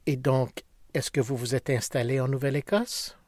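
An interviewer asking calm, formal questions and addressing the guest politely. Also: medium pitch, moderate pace, no echo.

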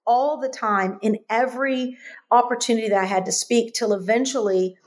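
A woman telling her life story as a guest, animated and repeating phrases for emphasis. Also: on the high side, normal speed. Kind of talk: conversational storytelling interview.

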